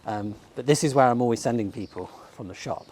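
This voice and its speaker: A man's voice: mid-range voice, fast talker, a little mellow, a little hiss or hum. A man from England, slightly losing his voice, chatting enthusiastically as he films himself walking up a sunny outdoor shopping street. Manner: chatty and enthusiastic, with frequent ums. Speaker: bookshop owner and vlogger. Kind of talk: casual walking tour vlog. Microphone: handheld camera filming himself while walking. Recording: faint outdoor street ambience.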